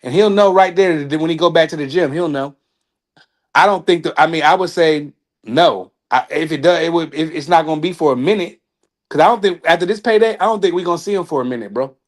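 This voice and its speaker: A man's voice: fairly high pitch, a little fast. A man co-hosting a casual boxing talk show, speaking loosely and rambling. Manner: loose, rambling, trails off with laughs. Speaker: co-host. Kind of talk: casual sports chat.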